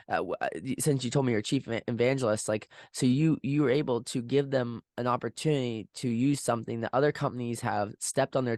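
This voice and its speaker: A male host chats casually in a mid-pitched voice with a very dry sound. His questions ramble and he often stumbles, repeating words as he finds his way. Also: darkish tone, no echo. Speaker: podcast interviewer, host. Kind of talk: casual interview chat.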